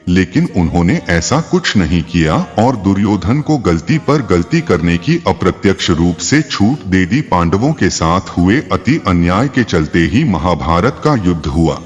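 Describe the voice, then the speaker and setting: A man narrating a scripted religious tale as a storyteller, in a measured, explanatory way. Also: deep voice. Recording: long reverb, faint music behind. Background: faint background music.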